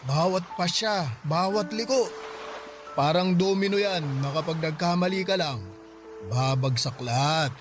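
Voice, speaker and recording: Radio drama role: heartbroken, boozy middle-aged uncle, raised pitch, reflective and weighty, over soft music. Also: male voice, unhurried. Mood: reflective, resigned. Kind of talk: Filipino radio drama dialogue.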